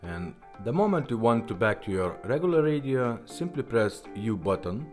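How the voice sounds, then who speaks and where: A man who is not a native English speaker, giving a casual product demonstration in a halting, simple step-by-step way. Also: mid-range voice, very animated, darkish tone, faint music behind. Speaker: presenter of a vintage car radio demonstration.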